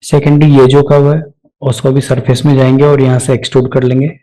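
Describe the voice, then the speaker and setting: A man with a dark, soft-edged voice walks through software steps as an instructor. He speaks at a middling pitch, explaining off the cuff and dropping in English technical terms as he goes. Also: level tone, fast, rough recording. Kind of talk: step-by-step screen tutorial, spoken off the cuff.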